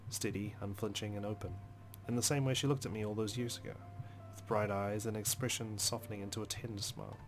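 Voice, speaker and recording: A man reading first-person game narration aloud as a let's play host, expressive, with dramatic, deliberate phrasing. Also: fairly low pitch. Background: music.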